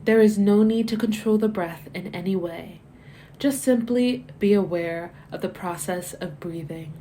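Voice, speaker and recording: A woman guiding a body scan meditation as scripted narration, giving gentle, measured, soothing instructions that soften at the ends of phrases. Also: medium pitch, a little hiss or hum. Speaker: meditation guide.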